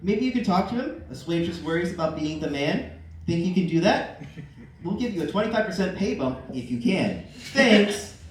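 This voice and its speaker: Man playing a chatty TV producer, echoey and lively. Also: sits high, moderate pace. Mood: breezy, gossipy. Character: chatty female TV producer leaving a phone message. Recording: echoey room, distant mic, faint noise.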